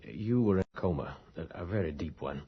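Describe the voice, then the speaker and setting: An actor playing an earnest, persistent investigator in a radio horror drama, educated and polite, with hesitant, articulate questioning. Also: male voice, low-pitched, expressive, brisk, husky, heavily acted. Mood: insistent. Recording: dull lo-fi radio recording.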